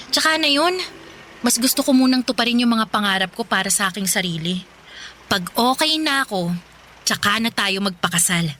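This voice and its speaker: Bright-voiced young Filipino girlfriend. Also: fairly high pitch. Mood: earnest, firm. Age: young adult. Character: ambitious, candid young girlfriend, playful and chatty. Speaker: radio drama actress. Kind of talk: Filipino radio drama acting, conversational.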